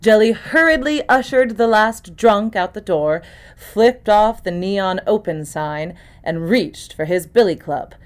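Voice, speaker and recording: A woman's voice: medium pitch, expressive, measured pace. A woman actor reading scripted first-person mystery narration, as for an audiobook.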